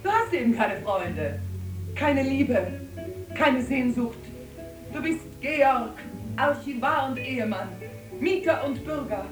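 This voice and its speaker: An actress playing a sober, resentful wife with a dry, reproachful manner in a German radio play. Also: female voice, sits high, animated, slow, over music, poor audio. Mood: mocking. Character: sober, cutting wife, resigned yet resentful.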